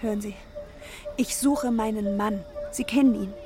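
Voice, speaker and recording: A woman's voice: medium pitch, moderate pace, music in the background, close to an everyday voice. An actress in a radio drama playing a distraught, fragile woman searching for her missing husband. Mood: urgent. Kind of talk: dramatic German radio play acting.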